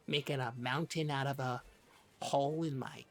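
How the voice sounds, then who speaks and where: A woman co-hosting a comedy podcast, improvising banter in a halting, hesitant way. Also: a bass voice, steady tone, soft background music.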